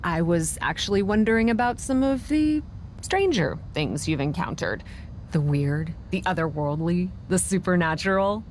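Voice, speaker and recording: A woman's voice: fairly low pitch, lively intonation, normal speed, a little hiss or hum, close to an everyday voice. A woman playing a curious, determined young wrestler in a video game cutscene, conversational and earnest.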